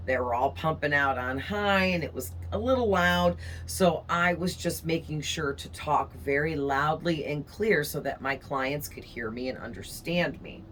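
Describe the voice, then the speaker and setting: A woman with a raspy, worn-out voice that sounds hoarse from overuse. She talks to her audience in a rambling, friendly way as a tarot reader, often checking in with "you know" and "okay?" There is a faint bit of room noise behind her. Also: low-pitched, measured pace, few pauses, fairly bright tone. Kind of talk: casual chatty monologue to viewers.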